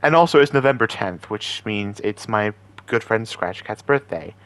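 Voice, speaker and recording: A man's voice: lowish voice, animated, moderate pace, fairly bright tone, dry recording. A man hosting as an amateur voice impressionist, chatting casually to viewers in a rambling, self-deprecating way. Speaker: amateur voice impressionist and video host.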